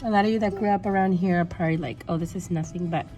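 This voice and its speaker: A woman's voice: lowish voice, racing, warm tone, faint music behind. A young woman with a stuffy, sore throat chatting casually to her phone camera, rambling with fillers. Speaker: vlogger. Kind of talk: casual vlog chat to camera. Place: indoors at home, then outdoors in snow. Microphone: phone held at arm's length.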